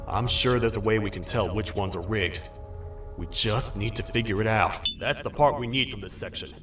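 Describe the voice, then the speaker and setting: A man voicing a stern, weary cartoon toad sheriff in a video game, with a grave, formal, deliberate delivery. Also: lowish voice, fairly bright tone, faint music behind, natural voice. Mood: grim, watchful. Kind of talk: video game character acting, log narration.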